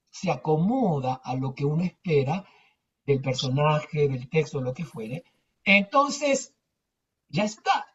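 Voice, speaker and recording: A woman's voice: mid-range voice, darkish tone. An older woman with an Argentine accent, telling anecdotes casually in a rambling, emphatic way with repetitions. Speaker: theatre teacher. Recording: distant, dull muffled microphone.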